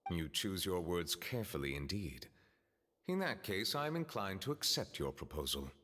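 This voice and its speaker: A man playing a stern, formal judge who speaks in measured, careful sentences in a game cutscene. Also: low voice, sing-song, bright, forceful tone, in a large hall, a little hiss or hum, full character voice.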